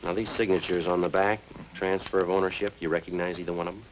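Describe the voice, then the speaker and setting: A man's voice: sits low, little pitch movement, quick pace, close to an everyday voice. An actor playing a methodical male police sergeant in a 1950s radio crime drama, clipped, understated and matter-of-fact. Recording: noisy old-time radio recording.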